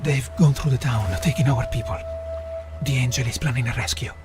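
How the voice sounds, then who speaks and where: A man acting an ailing partisan guide in a video game cutscene, speaking gravely and haltingly. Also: mid-range voice, music in the background, natural voice. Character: ailing Italian male partisan, world-weary guide.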